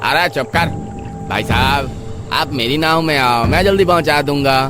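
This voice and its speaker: A man voicing a gruff, greedy, resentful river boatman in a horror story. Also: fairly high pitch, normal speed, over music, lightly acted. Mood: curt.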